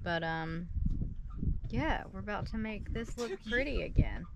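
A woman's voice: medium pitch, expressive, measured pace, vocal fry, loud music behind the voice. A woman chatting casually on her sailing vlog, rambling and hesitant, often trailing off mid-thought. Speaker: sailing vlogger. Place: outdoors on a sailboat deck.

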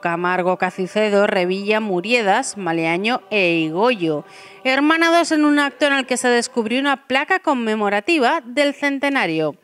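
A woman with a Spanish accent reading local news headlines and reports in a steady, scripted way. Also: mid-range voice, clear, resonant tone. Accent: Spanish from Spain. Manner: steady read-aloud delivery. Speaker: news presenter.

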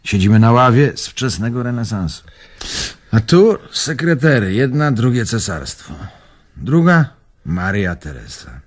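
Swooping, husky old antique collector. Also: male voice, medium pitch, big pitch swings, measured pace. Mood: proud, effusive. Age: older. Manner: theatrical, effusive reminiscing. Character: spirited old antique collector, fond, boastful, easygoing. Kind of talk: radio drama acting.

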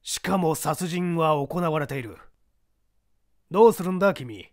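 A man's voice: on the high side, animated, no room sound, clean studio sound. Male reader as seasoned older investigator, explains and tests the young man, storybook mystery. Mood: explaining. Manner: measured, testing, explanatory.

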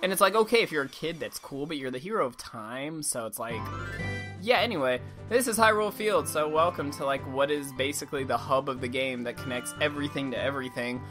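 A young man with a slight lisp giving casual, rambling commentary over a video game, swearing freely and now and then breaking into silly high voices. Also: fairly quick. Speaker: let's play commentator. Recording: game music loud behind voice.

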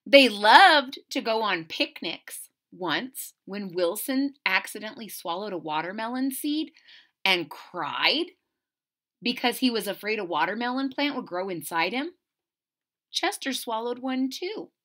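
A middle-aged woman reading a picture book aloud to children, expressive and deliberate, pausing to question her listeners. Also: fairly high pitch. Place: small indoor room at home.

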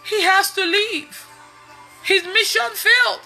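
A woman in her thirties with a Nigerian accent, preaching casually online in an animated, emphatic way and repeating phrases. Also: very high-pitched, slow, soft background music. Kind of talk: casual testimony-style preaching on a livestream. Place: indoors in a room at home.

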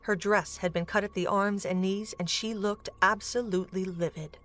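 A woman's voice: medium pitch, steady tone, moderate pace, a bit bright. A woman narrating a scripted dramatic story as the narrator of an audio drama. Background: music.

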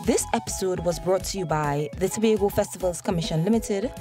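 Low-voiced woman announcer over music. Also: brisk. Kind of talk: scripted sponsor and programme announcement.

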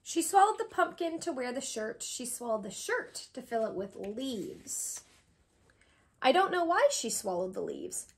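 A woman around her thirties reading a children's picture book aloud, expressive and sing-song, with drawn-out pauses for young listeners. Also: high voice. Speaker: read-aloud host, tutor. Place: small indoor room with bookshelves.

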